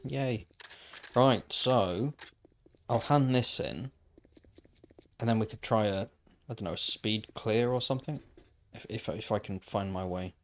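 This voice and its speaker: A man's voice: fairly low pitch, a little hiss or hum. Man musing aloud while gaming. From Wales. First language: Welsh. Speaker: gaming livestreamer. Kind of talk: casual chat while playing.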